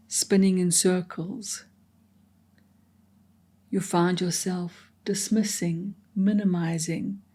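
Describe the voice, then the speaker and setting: A woman guides a meditation at a very slow, unhurried pace, her tone mellow and dark. She speaks gently and soothingly, slipping into soft whispers, with long reflective pauses between her phrases. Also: on the low side.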